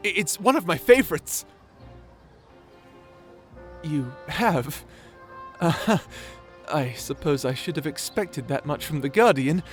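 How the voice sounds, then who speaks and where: A man's voice: fairly high pitch, animated, slow pace, pauses often, soft background music. A man playing a shy, nerdy young wizard in a fantasy audio roleplay, stammering, bashful and apologetic. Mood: bashful, polite. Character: shy nerdy young male wizard, flustered, eagerly polite.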